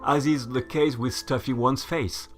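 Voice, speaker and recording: A man delivering a scripted voice-over essay in a measured, lecturing, mildly sardonic way. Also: medium pitch, fairly even intonation, over loud music. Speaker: channel host.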